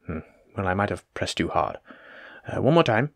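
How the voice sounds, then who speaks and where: A man's voice: deep voice, very animated, moderate pace, dry recording. A man playing a courteous, learned plague doctor in a roleplay audio drama, speaking formally and reassuringly as he attends to a patient. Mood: gently attentive. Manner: formal, polite, reassuring, wordy. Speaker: physician examining a patient. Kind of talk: soft-spoken roleplay audio drama, addressing the listener.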